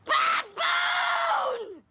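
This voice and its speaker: A woman voicing an indignant, shrill young female character in a cartoonish game cutscene, scolding emphatically. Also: big pitch swings, very slow, shouting, heavily acted. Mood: outraged.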